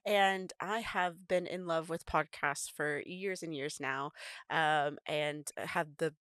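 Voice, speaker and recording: A woman's voice: low voice, takes it slowly, fairly bright tone, smooth, dry recording, studio quality. A young woman with a Texan accent chatting enthusiastically as co-host on a podcast, laughing easily. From Texas. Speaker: podcast production manager, co-host guest. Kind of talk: casual podcast chat.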